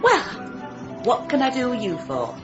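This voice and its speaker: An actress playing a falsely polite, guarded hair salon owner in a radio drama, deliberate and evasive. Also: female voice, on the high side, wildly swooping pitch, lo-fi sound, music in the background. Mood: brittle politeness.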